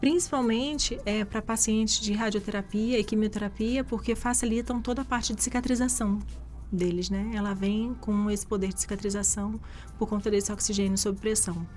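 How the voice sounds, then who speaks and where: A woman's voice: medium pitch, steady tone, normal speed, a little mellow. A woman from Rio de Janeiro with a Carioca accent, answering interview questions as a guest doctor in an explanatory, conversational way. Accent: Carioca Brazilian Portuguese. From Rio de Janeiro, Brazil. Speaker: guest infectious disease doctor. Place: live radio podcast interview.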